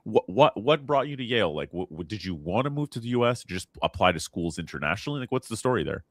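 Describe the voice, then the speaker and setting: A man hosting a casual podcast conversation. His voice sits very low, recorded close and dry with no room sound. He keeps things friendly, chiming in with quick agreement. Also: sing-song, no room sound. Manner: encouraging, quick affirmations and laughs. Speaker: podcast host. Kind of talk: casual interview chat.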